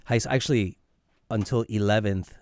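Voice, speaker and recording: A man in his forties from Iran and upstate New York, telling his story conversationally as a radio guest, reflective and pausing to choose his words. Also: fairly low pitch, a little mellow, dry recording. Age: 40s. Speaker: interview guest, hip hop artist.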